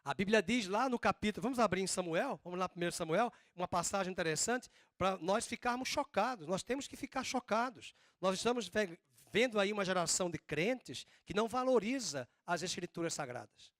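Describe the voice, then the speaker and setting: Northeastern brazilian pastor guy, drawly accent, high voice, dry close sound, slow emphatic preaching. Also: animated, a bit bright, dry recording. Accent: Northeastern Brazilian Portuguese. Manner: drawn-out, emphatic preaching with slow stressed phrases. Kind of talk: sermon.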